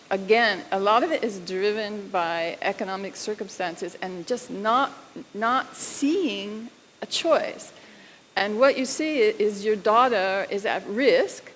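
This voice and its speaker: A woman on a panel, explaining earnestly and with emphasis. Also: mid-range voice. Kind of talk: panel discussion. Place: university lecture hall. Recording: reverberant hall, faint background noise.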